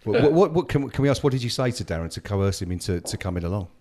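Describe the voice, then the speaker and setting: A man's voice: on the low side, big pitch swings, rapid-fire, dark tone. A man chatting casually as a podcast co-host. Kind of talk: casual chat.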